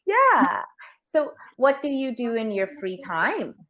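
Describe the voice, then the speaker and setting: Lively-voiced New York woman tutoring. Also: a little high, slow, muffled recording. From New York, USA. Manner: encouraging, frequent mm-hmms, corrects gently. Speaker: online English tutor. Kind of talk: slow, clear tutoring conversation. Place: home teaching room with toys. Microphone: video call.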